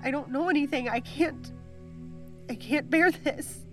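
A young woman playing a lonely, frightened eighteen-year-old, halting and hesitant, recording a personal log in an audio drama. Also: high voice, a bit bright, faint music behind. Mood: overwhelmed, despairing. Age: young adult.

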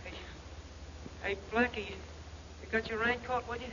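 An actor playing an agitated, slangy, loyal sidekick heard over a telephone in a noisy old-time radio drama. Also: male voice, very high voice, steady tone, unhurried, bright, forceful tone, highs cut off, heavily acted. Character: excitable, simple, loyal male sidekick of a crook-turned-sleuth.

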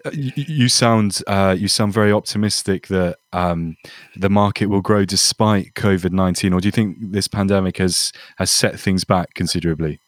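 A man hosting a podcast interview, asking questions in an encouraging, slightly halting way. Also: sits low, fairly even intonation, no room sound. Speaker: podcast host.

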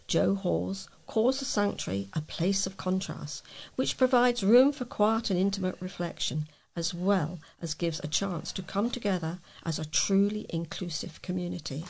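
A woman's voice: mid-range voice, creaky, darkish tone. A woman reading scripted local news as a volunteer newsreader.

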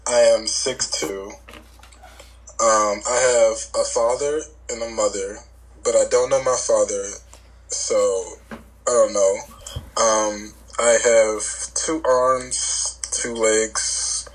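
A man's voice: lowish voice, fairly even intonation, takes it slowly, rough recording. A young man guesting on a podcast, bantering in casual chat with profane, mock-serious jokes.

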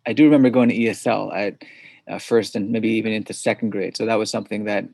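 A man from suburban New York, a podcast guest who answers thoughtfully with hesitant pauses. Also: lowish voice, steady tone, vocal fry, a little mellow, dry recording. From suburbs of New York City. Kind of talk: interview conversation.

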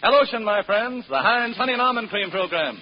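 A man's voice: high-pitched, big pitch swings, quick pace. A man with an American accent announcing an old-time radio show in a formal, projected showman style. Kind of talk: 1930s-40s radio announcing. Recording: muffled, very noisy old radio broadcast.

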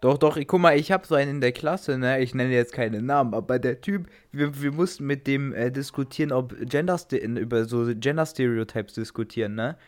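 A man's voice: mid-range voice, a little fast, hardly any pauses. A young man co-hosting a podcast, chatting casually with a joking, teasing manner. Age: teenager or young adult. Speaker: podcast co-host. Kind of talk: casual chat.

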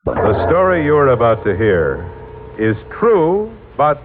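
Very slow, ominous radio narrator. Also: male voice, medium pitch, wildly swooping pitch. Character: ominous narrator of strange tales. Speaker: program narrator. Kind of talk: 1950s radio mystery narration. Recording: tinny, noisy old radio recording.

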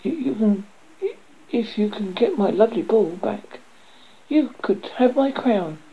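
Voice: mid-range voice, animated, measured pace, slightly rough, dull audio, voice pushed up. A storyteller voicing a spoiled, impatient young princess who wails and snaps. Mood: desperate offering. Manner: wailing, snappish. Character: spoiled, impatient, wailing little princess girl.

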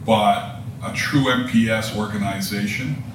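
A low-pitched man speaks in an affirming, reflective way. He is far from the microphone in a strongly echoing room, with noticeable noise behind. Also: fairly even intonation, measured pace. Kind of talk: conversational discussion. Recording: echoey room, distant mic, noisy.

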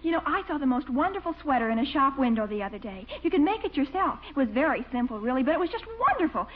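Woman: young chatterbox niece, gushing and teasing, high lively voice, vintage radio comedy, tinny narrow sound. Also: fast. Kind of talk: 1940s radio comedy acting. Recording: tinny, noisy 1940s radio recording.

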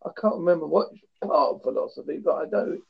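Gravelly man with a Devon-Essex accent. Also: a little high, animated, quick pace. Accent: Devon and Essex English. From England. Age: 50s. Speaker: interviewer. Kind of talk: casual online interview. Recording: dull lo-fi mic.

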